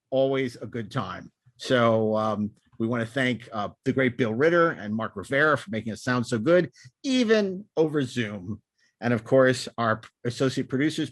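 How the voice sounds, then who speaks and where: Man, podcast host, mid-pitched voice, dry sound, enthusiastic, casual, stop-start rambling delivery. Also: no room sound. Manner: rambling, with repeated words and stop-start phrasing. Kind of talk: casual podcast chat and sign-off.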